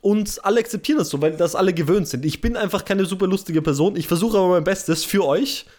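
A man's voice: high-pitched, fast, a little mellow. A young man with an Austrian German accent co-hosting a podcast, chatting casually, self-deprecating and rambling with restarts. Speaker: podcast co-host. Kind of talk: casual chat.

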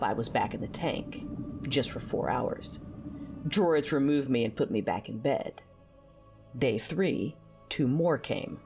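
A woman's voice: a bass voice, darkish tone. Brooding female fallen-Jedi narrator, intense and controlled, sci-fi audio drama, faint music underneath. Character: battle-hardened, dark-tempered female fallen Jedi warrior.

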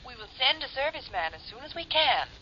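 An actress playing an inflexible telephone operator, formal and clipped, in 1950s radio drama style. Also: female voice, sits high, very animated, a little fast, bright, forceful tone, exaggerated voice. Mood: businesslike. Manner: formal, clipped, scripted politeness. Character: officious, inflexible female telephone switchboard operator. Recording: muffled, noisy 1950s radio recording.

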